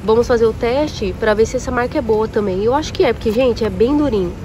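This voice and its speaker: A woman's voice: a little high, fast talker. Chatty young woman in a noisy supermarket. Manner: chatty, addresses viewers, compares prices aloud. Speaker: vlogger, café owner. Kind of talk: casual shopping vlog. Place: large warehouse supermarket, indoors. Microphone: phone held at arm's length. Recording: noisy store ambience.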